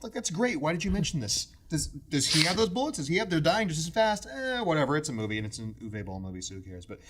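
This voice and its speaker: Guy on a podcast ranting super fast, sarcastic, pitch all over the place, kinda fed up. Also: big pitch swings, fairly bright tone. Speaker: podcast co-host. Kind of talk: casual chat, opinionated film and game commentary.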